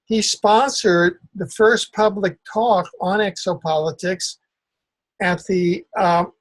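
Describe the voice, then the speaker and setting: An older man hosting a conversational interview, speaking in a halting, deliberate way. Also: sits high, steady tone. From Cuba. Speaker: interview host.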